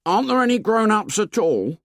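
A man's voice: high-pitched, sing-song, brisk, fairly bright tone, no room sound, studio quality, voice pushed up, full character voice. A man voicing an anxious, talkative young schoolboy with colloquial, ungrammatical speech in an audiobook. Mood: anxious, insistent. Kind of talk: audiobook character dialogue.

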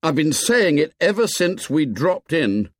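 A man voicing a desperate, earnest schoolboy leader, pleading and shouting in a raised voice. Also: fairly high pitch, big pitch swings, measured pace, no echo, clean studio sound, pitched above the performer's own voice. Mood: urgent, pleading. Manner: pleading, rising to angry shouts.

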